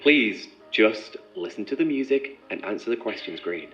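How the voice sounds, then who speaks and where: A composed scientist running an experiment in an audio drama. His voice sits a little low, precise and probing, with clinical control. The recording is slightly dull, with music underneath. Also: lively intonation, moderate pace, a bit bright. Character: composed, methodical male researcher running an experiment. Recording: muffled sound with music behind.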